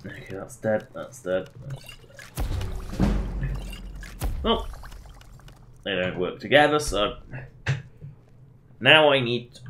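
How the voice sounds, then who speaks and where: A man's voice: on the low side, animated, takes it slowly, long pauses, music in the background. A man doing casual live game commentary, rambling and self-deprecating as he chats to his viewers. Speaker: game livestreamer.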